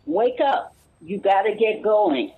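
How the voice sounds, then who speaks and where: A woman plays a gentle, reluctant street cleanup worker in a radio play. Her voice is husky and unhurried, heard through a thin, phone-like connection. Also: mid-range voice, big pitch swings, full character voice. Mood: urging. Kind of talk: amateur radio play acting. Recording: tinny, phone-like line.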